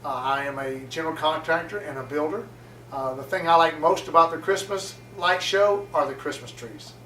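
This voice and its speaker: Bright-voiced forty-year-old man on home video. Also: on the high side, normal speed. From Atlanta, Georgia, USA. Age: 40s. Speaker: father interviewed about home Christmas lights. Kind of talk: casual home video introduction. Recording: roomy echo, from some distance, faint noise.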